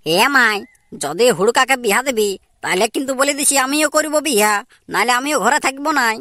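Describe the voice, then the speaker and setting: A woman's voice: a little high, expressive, a little fast, pushed and bright, no echo, clean studio sound. A woman voicing an earnest, dutiful young village brother in a cartoon comedy, with a Purulia Bengali accent. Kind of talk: amateur cartoon comedy dialogue.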